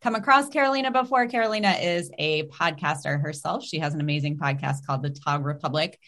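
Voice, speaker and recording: A woman's voice: low voice, fast talker, a bit bright. A middle-aged woman hosting a podcast, chatting casually and upbeat, with lots of "like" and "um". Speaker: podcast host.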